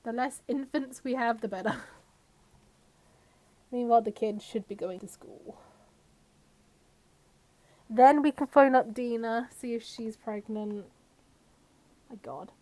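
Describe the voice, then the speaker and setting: Higher-pitched woman streamer with a cold. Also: takes it slowly, long pauses, darkish tone. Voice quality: slightly scratchy from a cold. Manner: chatty, talks to viewers and game characters. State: has a cold. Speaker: game streamer. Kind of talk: casual live-stream game commentary.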